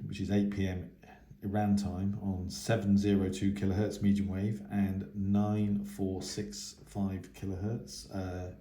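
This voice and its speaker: A man giving a casual, unscripted radio hobby news update in a hesitant, halting way. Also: deep voice, warm tone. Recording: echoey, roomy sound.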